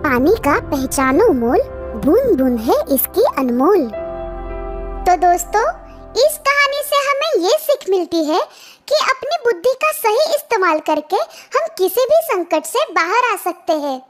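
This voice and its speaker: A woman's voice: very high-pitched, big pitch swings, unhurried. A woman narrating a scripted children's story as a storyteller, with music behind her voice.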